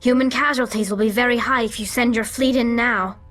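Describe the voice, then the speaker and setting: A woman's voice: on the high side, fairly even intonation, a little fast, fairly bright tone, faint music behind, close to an everyday voice. An actress playing an urgent, hard-headed squadmate advising her commander in a video game cutscene. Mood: insistent, cautioning.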